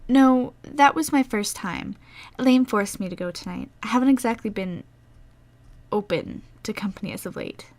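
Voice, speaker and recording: A woman's voice: on the high side, lively intonation, normal speed, no echo. A woman playing a shy, melancholy teenage girl in an amateur audio drama, bashful and polite. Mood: guarded, sad.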